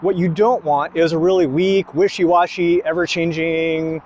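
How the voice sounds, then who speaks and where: A man preaching a sermon slowly and emphatically, pausing between phrases. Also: a little high, fairly even intonation, a little mellow, highs cut off, slight background noise. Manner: slow, emphatic preaching with long pauses.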